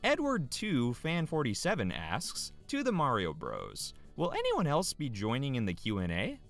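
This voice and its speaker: Very animated man reading questions. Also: mid-range voice, normal speed, creaky, soft background music. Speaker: reader of viewer questions. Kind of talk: reading comments aloud, animated.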